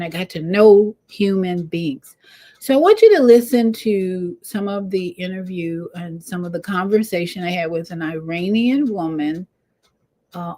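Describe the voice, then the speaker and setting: A woman talks to her audience as the host of an informal show. Her delivery is earnest and conversational, with an encouraging tone and a medium-pitched voice. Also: dark tone. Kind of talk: informal monologue to viewers and interview.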